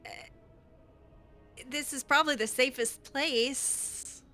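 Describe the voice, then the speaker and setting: A woman's voice: sits high, slow pace, bright, forceful tone, soft background music. A woman doing casual improvised roleplay while playing a video game, hesitant, awkward and drily reluctant. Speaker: video game player roleplaying her character.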